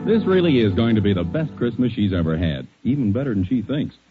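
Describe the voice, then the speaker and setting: Animated American announcer man, tinny old radio. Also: brisk, loud music behind the voice. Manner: emphatic, polished sales pitch. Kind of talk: 1940s scripted radio advertising. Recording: thin, phone-like 1940s radio recording.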